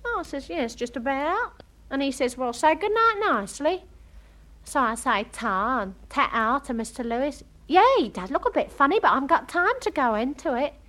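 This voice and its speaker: A woman's voice: sits high, big pitch swings, moderate pace, a bit bright. An actress playing a chatty, romantic young English woman with a working-class accent, gossiping in a comic monologue. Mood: wry, animated. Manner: gossipy, dramatic storytelling, quoting others.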